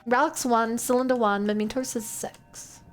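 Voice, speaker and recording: A chatty woman with a New Zealand accent, playing a game on stream and thinking aloud as she answers her chat. Her voice sits a little high, with faint game music under it. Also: steady tone, moderate pace. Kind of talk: casual gameplay commentary.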